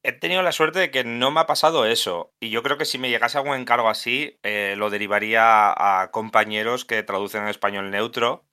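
A man's voice: mid-range voice, a little fast, few pauses, pushed and bright. A man Asturias, a video game translator chatting as a podcast guest, thinking aloud with frequent restarts. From Asturias, Spain. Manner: thoughtful, many restarts and self-corrections. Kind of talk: casual podcast conversation.

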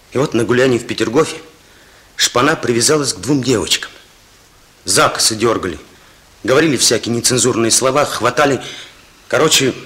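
An actor in a Soviet radio drama playing an earnest, indignant young police operative, speaking with halting, emotional intensity. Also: male voice, mid-range voice, a bit bright, lightly acted.

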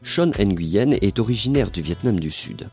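A man narrating a documentary in a measured, informative way and asking interview questions. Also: fairly low pitch, animated, mellow, faint music behind.

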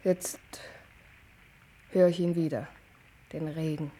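Slow, wistful young lover. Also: female voice, low voice, steady tone, mellow, rough recording. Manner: dreamy, plaintive. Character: wistful, clinging young woman in love. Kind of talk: German radio play acting.

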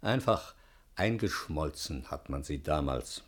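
A man's voice: rumbling low, expressive, a little slow, full character voice. An actor playing a proud, weary old bourgeois father in a radio play, speaking in grave, formal, literary phrases. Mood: resigned, mournful. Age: older.

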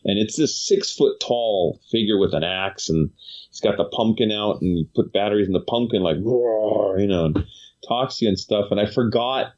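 A man's voice: fairly low pitch, moderate pace, darkish tone, lo-fi sound. A man hosting a podcast, telling stories in a chatty, rambling way full of fillers. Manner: chatty storytelling, many fillers. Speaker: podcast host. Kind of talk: casual chat.

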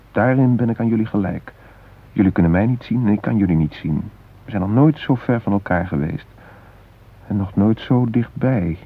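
Frightened, husky hostage father, phone-like sound. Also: fairly low pitch. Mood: tender, fearful. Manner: reflective, halting, wryly self-mocking. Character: frightened hostage father, musing, vulnerable, wry. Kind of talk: radio drama interior monologue and dialogue. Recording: muffled phone-like band.

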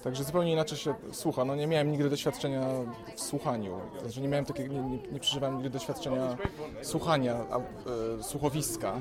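A man's voice: medium pitch, fairly even intonation, fast. A man being interviewed as an audience member, thoughtful and hesitant, rephrasing as he casually reflects. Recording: loud crowd noise behind.

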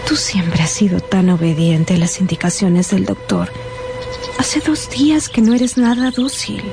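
A woman's voice: medium pitch, gravelly. An actress playing a sorrowful, tender young woman recounting a death in an emotional radio drama style. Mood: sad, tender. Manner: slow, emotional, pleading. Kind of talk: dramatised radio novel narration. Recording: music under the voice. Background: music.

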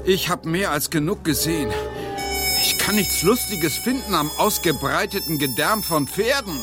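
An actor playing an excitable, squeamish English playwright abroad in a German radio play, with an agitated, exclaiming manner that can turn polite and hesitant. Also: male voice, fairly high pitch, normal speed, music in the background, natural voice. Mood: panicked, disgusted.

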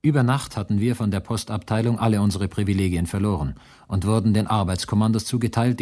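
A low, husky man's voice gives testimony as a prisoner and writer in a radio drama. He is sombre and weary, recounting plainly and gravely. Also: a little mellow.

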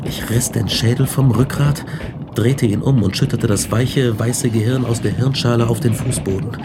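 An actor in a radio drama playing a haunted, despairing scientist who tells his story in a grave, measured way. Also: mid-range voice, very noisy, lightly acted. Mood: grim resolve.

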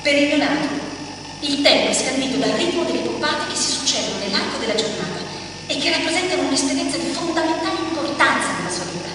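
A woman's voice: high voice, expressive, fast. An actress playing an intense, volatile female caretaker in a theatrical radio-play monologue, with emphatic, fragmented delivery. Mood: earnest, explanatory. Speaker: stage actor in a radio play. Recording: very echoey hall, distant mic, clear noise.

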